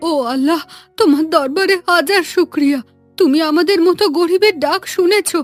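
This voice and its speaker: A woman playing a devout, contented village mother in a fairy-tale cartoon, expressive and heartfelt. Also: very high-pitched, moderate pace, faint music behind. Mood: grateful, amazed.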